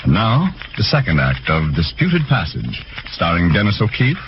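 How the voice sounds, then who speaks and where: Stately, lowish-voiced male radio announcer. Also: huge pitch swings, moderate pace. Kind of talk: formal 1940s radio sponsor announcement. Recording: muffled, noisy old radio recording.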